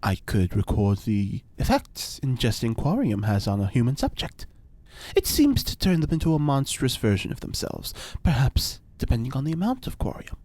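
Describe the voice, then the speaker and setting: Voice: mid-range voice, sing-song, normal speed, dark tone, no echo. An actor playing an eccentric, pompous mad scientist and cult-style ritual leader in a podcast drama, speaking in a grandiose, pedantic way. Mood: matter-of-fact, eager. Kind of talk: audio fiction podcast acting.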